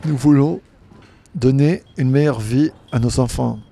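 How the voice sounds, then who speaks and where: A man interpreting a panel speaker simultaneously, talking in halting phrases with frequent restarts and self-corrections. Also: medium pitch, vocal fry, warm tone. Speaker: conference interpreter.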